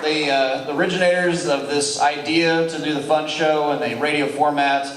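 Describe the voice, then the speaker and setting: A man's voice: fairly high pitch, steady tone, normal speed, recorded from a distance. A man hosting a live stage show styled on old-time radio, speaking as an emcee. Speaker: show host. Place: large hall with a live audience. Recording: echoey live hall, crowd noise.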